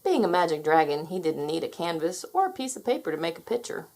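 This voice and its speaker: A woman's voice: lowish voice, big pitch swings, fast talker, darkish tone. A woman narrating a children's story, reading aloud expressively.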